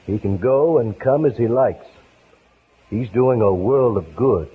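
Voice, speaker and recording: An actor playing an approving, plain-spoken prison warden, speaking emphatically in 1950s radio acting style. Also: male voice, mid-range voice, expressive, unhurried, lightly acted. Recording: noisy, tinny 1950s radio broadcast.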